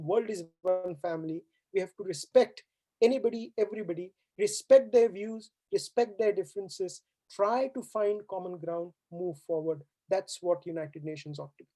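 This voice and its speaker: A woman's voice: medium pitch, dark tone. Earnest woman giving a stirring speech. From India. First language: Hindi. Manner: earnest, exhorting, rhetorical questions. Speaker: guest speaker. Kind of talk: formal valedictory speech. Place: university auditorium.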